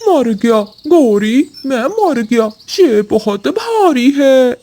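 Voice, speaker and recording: A woman acts out a greedy crow husband from a children's cartoon. He sounds soft and mellow, slow-spoken, whiny and plaintive. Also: high voice, sing-song, exaggerated voice. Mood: pleading. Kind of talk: children's cartoon story acting.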